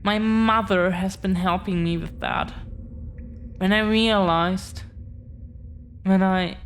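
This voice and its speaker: A woman playing a tender, devoted young dragon-descended girlfriend in intimate roleplay audio, speaking haltingly and earnestly, repeating phrases. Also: fairly low pitch, slight background noise. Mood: grateful, tender.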